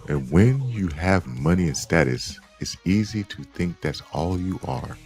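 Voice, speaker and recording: A man's voice: low voice, expressive, measured pace, darkish tone, soft background music. A man playing a reflective, sincere teenage schemer in a dramatic script reading.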